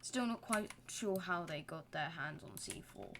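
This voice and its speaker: A woman's voice: low-pitched, big pitch swings, normal speed, creaky, noisy background. A young woman giving casual, self-deprecating commentary while building in a game, with rambling joking asides. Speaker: gaming channel host.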